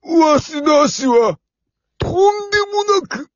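A woman voicing a sly old tortoise in a comic radio drama, talking like a theatrical villain with a creaky voice. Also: high voice, sing-song, dry recording, heavily acted. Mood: smug, grandiose. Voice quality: gravelly, creaky. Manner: theatrical, slow, drawn-out villainous delivery. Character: sly old tortoise, scheming slow-footed mock villain. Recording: dull, lo-fi recording.

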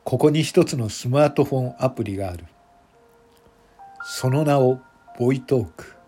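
A man reading scripted narration for an audio drama in a measured, deliberate manner, phrase by phrase. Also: mid-range voice, warm tone, soft background music.

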